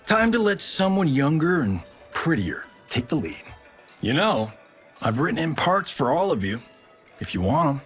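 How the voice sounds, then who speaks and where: An actor playing a cocky, upbeat Hollywood action star turned director in a video game, breezy, slangy and wisecracking. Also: male voice, fairly high pitch, sing-song, moderate pace, faint music behind. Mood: breezy, playful. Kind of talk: cinematic game cutscene acting.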